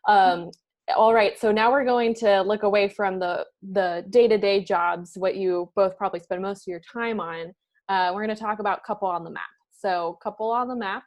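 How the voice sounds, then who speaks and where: Woman, podcast host, upbeat, friendly, quick laughs, interview setting. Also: mid-range voice, fairly even intonation. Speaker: podcast host, career services assistant director.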